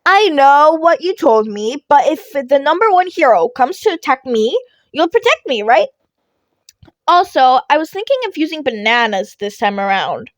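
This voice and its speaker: A woman's voice: high-pitched, very animated, normal speed, no echo, pitched above the performer's own voice. A woman voicing a cheeky, playful teenage boy in a fan fiction reading, with an insistent, teasing manner. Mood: teasing, confident. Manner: bright, teasing, insistent, emphatic. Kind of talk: podfic character voice.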